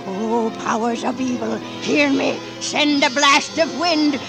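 An actress playing an evil queen turned cackling old witch, slow and gloating, in a 1940s radio drama. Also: high voice, over music. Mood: sinister, incanting. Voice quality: creaky, cackling.

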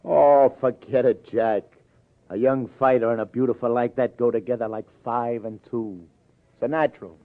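An actor playing a hard-boiled New York police lieutenant in a radio crime drama, clipped and wry. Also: male voice, mid-range voice, fairly even intonation, normal speed, dry recording, close to an everyday voice. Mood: dry. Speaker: lead actor, police detective. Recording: tinny vintage radio broadcast.

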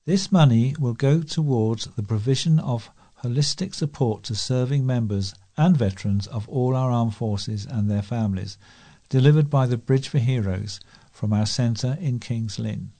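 A man reading letters and articles aloud as a volunteer news reader, in a measured, scripted delivery. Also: mid-range voice, dark tone.

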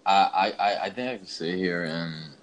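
A man's voice: deep voice, moderate pace. A man co-hosting a podcast in casual chat, talking in a slangy, emphatic, rambling way. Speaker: podcast co-host. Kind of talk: casual chat ranking bad video game movies.

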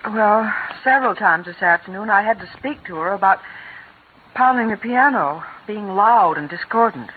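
A woman's voice: medium pitch, lively intonation, moderate pace, lightly acted. An actress playing a worried, strained stepmother with an earnest, pleading manner, in 1940s radio acting. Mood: stern. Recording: tinny old radio broadcast with faint hiss.

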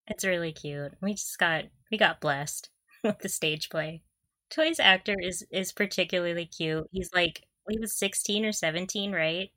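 A woman's voice: on the low side, normal speed, bright, forceful tone, no room sound. A young woman co-hosting a casual fan podcast, talking in a giggly, gushing way. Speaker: podcast co-host.

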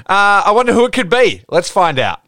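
A man's voice: high-pitched, big pitch swings, fairly quick, sharp tone. A man hosting a podcast, teasing his co-host in casual banter over a video call. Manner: joking, teasing, swears casually.

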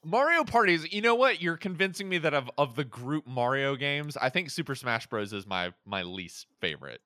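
A man's voice: on the high side, big pitch swings, normal speed, sharp tone, dry recording, clean studio sound. A man hosting a podcast in casual chat about movies and games, rambling and often repeating or interrupting himself. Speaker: podcast host.

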